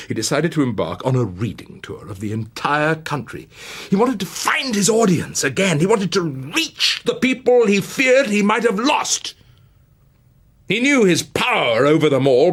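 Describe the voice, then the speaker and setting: A British man narrates a life story as theatre. His delivery swoops between low and high with dramatic pauses and heavy emphasis, and the voice has a husky, creaky grain. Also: fairly bright tone. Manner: dramatic, dwelling on words with long emphatic pauses and swells. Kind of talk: theatrical scripted biographical narration.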